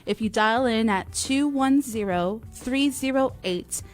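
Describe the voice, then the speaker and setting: A woman's voice: on the high side, a little slow. A woman co-hosting a radio talk show, addressing listeners and making announcements. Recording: dry studio sound with music underneath.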